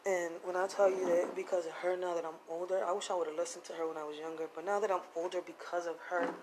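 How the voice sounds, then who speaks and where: A woman's voice: fairly low pitch, level tone, fast talker, background noise. A woman telling her own hard life story to her viewers in a plainspoken, confessional way, using casual slang. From Puerto Rico, raised in Philadelphia. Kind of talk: personal testimony to camera.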